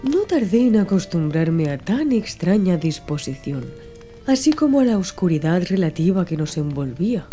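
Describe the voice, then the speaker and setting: A woman's voice: lowish voice, very animated, moderate pace, dark tone. A woman reading literary prose as an audiobook narrator in a scripted storytelling style. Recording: music under the voice. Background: background music.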